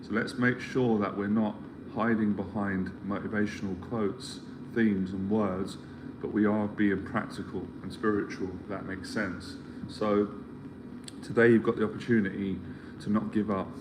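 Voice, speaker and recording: Earnest low-voiced middle-aged man mentoring. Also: steady tone, normal speed. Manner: earnest, rambling, repeats himself while searching for words. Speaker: life mentor. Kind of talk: motivational live stream talk. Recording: clear background ambience noise.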